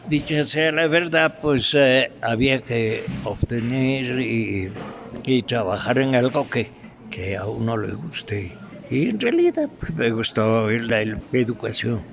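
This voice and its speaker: An older man with an Ecuadorian Spanish accent, reminiscing in an interview in a halting, deliberate way with pauses and repetitions. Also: mid-range voice, vocal fry. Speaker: interviewee, veteran radio drama actor.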